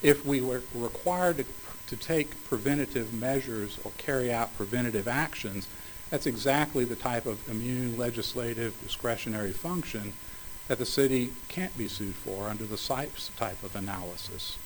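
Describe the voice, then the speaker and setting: Measured man arguing a legal case. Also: mid-range voice, slight background noise, poor audio. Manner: measured, formal legal phrasing with occasional restarts. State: slightly hoarse, coughing. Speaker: attorney arguing for a city. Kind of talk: appellate oral argument.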